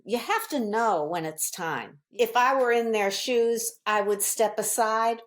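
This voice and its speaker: A woman's voice: on the high side, a little slow. A woman in her seventies from Virginia, a voter speaking firmly and plainly. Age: 70s. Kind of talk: interview clip.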